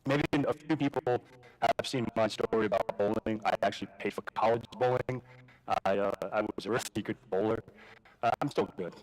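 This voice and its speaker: A man's voice: lowish voice, brisk, poor audio. Casual New man telling his story. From Jamaica, Queens, New York. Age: adult with a daughter. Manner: casual, rambling, many fillers and restarts, swears. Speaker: conference speaker. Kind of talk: personal story talk at a live event.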